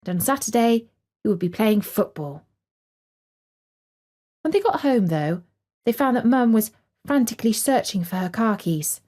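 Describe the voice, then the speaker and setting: A woman's voice: on the high side, expressive, normal speed, pauses often, a little mellow. A woman storyteller reading a scripted story for children.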